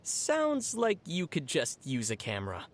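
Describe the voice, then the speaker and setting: An actor playing a young traveler in a video game, speaking in a measured, thoughtful, dry and gently teasing way. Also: medium pitch, huge pitch swings, slight background noise. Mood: dry amusement. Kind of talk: scripted video game dialogue.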